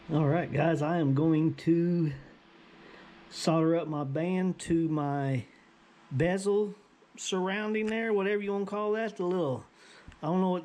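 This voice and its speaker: A man's voice: a little high, a little mellow. Folksy man in his workshop. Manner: folksy and chatty, talks to viewers as "y'all". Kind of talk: casual workshop narration.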